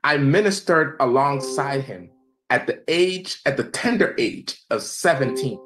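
A young American man giving an evangelism seminar over a video call, measured and preacherly, letting phrase endings fall quiet. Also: lively intonation. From United States. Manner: measured, preacherly, emphatic, drops pitch and volume at phrase ends. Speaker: seminar presenter. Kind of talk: presentation lecture with slides.

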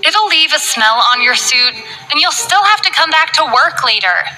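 A woman's voice: high voice, expressive, normal speed, bright, forceful tone, in a large hall, faint music behind, voice pushed up, full character voice, rough recording. A woman voicing a cool, indifferent young woman who speaks in a matter-of-fact way.

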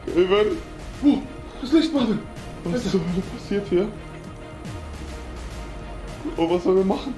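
A man's voice: high voice, expressive, brisk, echoey room. A young man, slangy and agitated, acting out a scary vlog indoors on a handheld camera. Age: young adult. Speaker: video creator. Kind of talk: dramatized scary prank vlog. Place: indoors in a house. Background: loud music.

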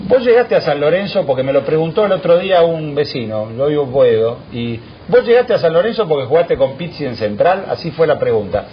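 An Argentine man chatting on a sports panel, his voice swinging widely in pitch as he talks. The broadcast sound is dull and muffled, with a little hiss. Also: very animated, brisk, hardly any pauses. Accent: Argentine Spanish. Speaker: radio panelist. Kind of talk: radio sports talk. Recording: muffled radio broadcast with faint noise.

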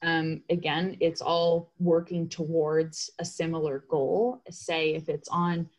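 Lower-pitched woman, halting delivery. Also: little pitch movement. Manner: hesitant, halting delivery with repeated words. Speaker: advocacy coordinator leading a meeting. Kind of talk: informal meeting presentation. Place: indoors on a group video call. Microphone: computer video call.

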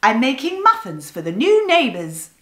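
A woman's voice: high voice, wildly swooping pitch, fairly bright tone, full character voice. A woman voicing a busy, bossy female magpie who bakes. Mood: brisk. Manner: brisk, explaining, businesslike. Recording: roomy echo.

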